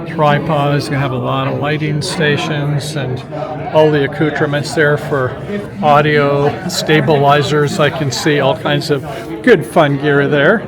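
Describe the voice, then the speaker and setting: A man with an American accent giving casual, rambling, unscripted commentary as a community TV reporter. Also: fairly high pitch. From Minnesota, United States. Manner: chatty, rambling. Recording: busy crowd chatter behind. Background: crowd at an open house event.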